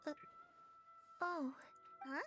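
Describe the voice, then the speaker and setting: A woman voicing a bright, curious, wistful young girl in a fantasy video game. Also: high voice, fairly even intonation, very slow, faint music behind, full character voice. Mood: curious, surprised.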